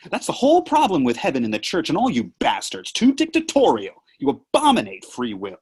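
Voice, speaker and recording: A man playing a sardonic, silver-tongued Satan in a play reading, theatrical and taunting, with a husky voice. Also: mid-range voice, huge pitch swings, brisk, darkish tone. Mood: indignant mockery. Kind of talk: virtual table read of a stage play.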